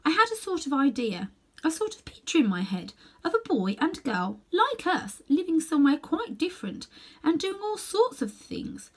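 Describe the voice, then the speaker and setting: A woman doing a high-voiced earnest young girl. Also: sing-song, normal speed. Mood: wondering. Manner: high, breathy childlike voice.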